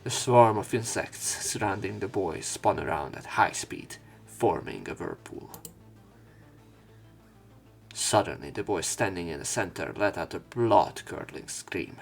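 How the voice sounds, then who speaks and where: Male let's player, lowish register, reads story text and tosses in irreverent casual remarks, game music underneath. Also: expressive, a little slow, creaky. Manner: irreverent, swearing offhand. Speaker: let's play commentator and narrator. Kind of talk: reading a visual novel aloud with casual commentary.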